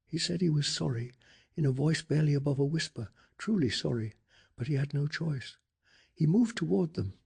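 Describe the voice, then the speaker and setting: A man narrating a bedtime story in a soft-spoken ASMR style, with a breathy, hushed and dramatic delivery. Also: a little high, animated, moderate pace.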